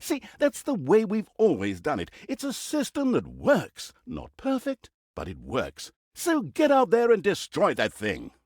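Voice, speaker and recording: An actor playing a theatrical, mock-pompous narrator of villainous deeds in a comic video game, with a sardonic, mocking manner. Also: male voice, sits high, wildly swooping pitch, measured pace, hardly any pauses, no echo, clean studio sound, heavily acted. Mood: sardonic scolding.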